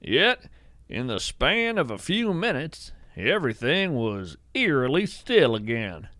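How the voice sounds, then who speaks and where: A man playing an earnest, awestruck naturalist, narrating in a poetic, measured storytelling style. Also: fairly high pitch, a bit bright, dry recording, clean studio sound. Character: awestruck bearded male naturalist who studies strange creatures. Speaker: host and narrator of a fiction podcast. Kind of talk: scripted first-person narration, storytelling.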